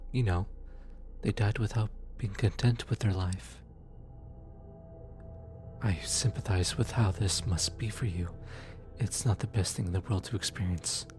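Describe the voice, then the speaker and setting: A man playing a gentle, awkward demon soul collector in an ASMR audio roleplay, speaking softly, tenderly and a little haltingly to comfort the listener. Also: lowish voice, close to an everyday voice. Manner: hesitant, halting, tender, casual.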